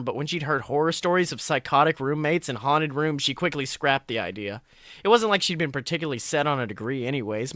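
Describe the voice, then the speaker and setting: A man reading a story aloud expressively, with an animated delivery. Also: a little high, no room sound, clean studio sound. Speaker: narrator reading fan fiction.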